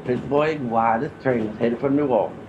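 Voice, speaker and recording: Male train conductor acted by a man, deadpan and courteous, radio comedy, mid-pitched, dull recording. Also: moderate pace, background noise, natural voice. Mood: mildly exasperated. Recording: muffled, dull podcast recording.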